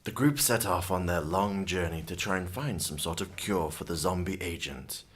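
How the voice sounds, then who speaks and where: A man acts as storyteller for a grim fantasy radio drama. His voice is fairly low, delivering scripted adventure narration. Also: moderate pace, a little hiss or hum, natural voice. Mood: narrating.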